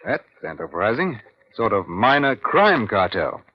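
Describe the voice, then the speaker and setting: Low-voiced British police inspector, lively intonation. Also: male voice, measured pace, very noisy. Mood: wry, musing. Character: male senior Scotland Yard police inspector, brisk and wry. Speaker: narrator and lead detective. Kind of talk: old-time radio crime drama acting and narration. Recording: dull, lo-fi vintage radio recording.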